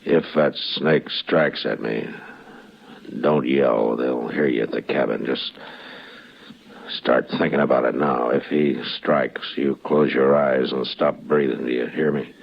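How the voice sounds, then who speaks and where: A man acting a stern, steady American frontier marshal in a radio western, speaking in terse, deliberate commands. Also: rumbling low, gravelly, slightly rough, full character voice. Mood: tense, stern. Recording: muffled, noisy old radio recording.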